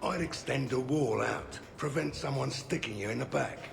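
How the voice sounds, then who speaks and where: A man playing a gruff, suspicious mercenary in a video game, blunt and sardonic with a gravelly voice. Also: medium pitch, moderate pace, music in the background. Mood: sardonic, grumbling. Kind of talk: video game character acting.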